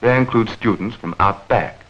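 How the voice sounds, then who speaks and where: A man's voice: on the low side, fairly bright tone. 1940s newsreel man, muffled vintage sound. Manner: measured, clearly enunciated, explanatory. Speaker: narrator. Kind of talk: 1940s educational newsreel narration, scripted.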